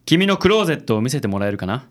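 A man's voice: medium pitch, sing-song, rapid-fire, lightly acted. A man playing a suave, overzealous, self-styled handsome man in a comic radio drama, pompous and passionate, sprinkling in English words.

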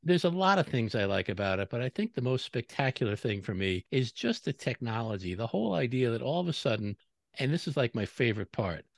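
Man, mid-pitched, enthusiastic, playful podcast co-host, dry close recording. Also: big pitch swings, a little fast, creaky, no room sound. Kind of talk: casual podcast chat.

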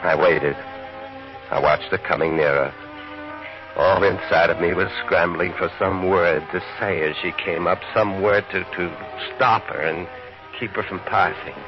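A brooding, tender narrator from a vintage radio drama, his voice extremely deep and hushed. Music drifts beneath the words, and the old broadcast sounds muffled and dull. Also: fairly even intonation, unhurried. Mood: hushed longing. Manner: quiet, wistful, drops to near whisper. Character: lovesick American man, brooding and tender. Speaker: first-person narrator of a radio play. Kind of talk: 1940s-50s radio drama acting.

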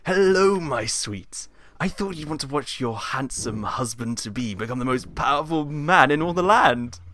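A man's voice: medium pitch, lively intonation, moderate pace, fairly bright tone, pitched above the performer's own voice, lightly acted. A man voicing a power-hungry pantomime sorcerer villain in a grandiose, theatrical manner. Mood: smug, commanding.

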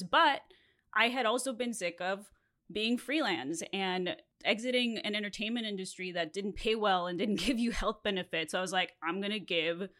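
A woman's voice: mid-range voice, fairly bright tone. A woman in her thirties from Mexico, whose first language is Spanish, telling a candid story as a podcast host with laughs between phrases.

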